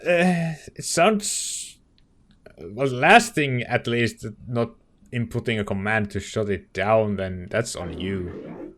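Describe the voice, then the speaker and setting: A man giving casual let's play commentary, hesitant, reacting in short, halting bursts. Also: lowish voice, a bit bright.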